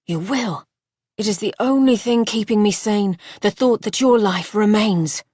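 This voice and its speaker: A woman voicing a gravely ill gentleman who speaks softly and tenderly in a lowered, hushed voice. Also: a little slow, dry recording, clean studio sound.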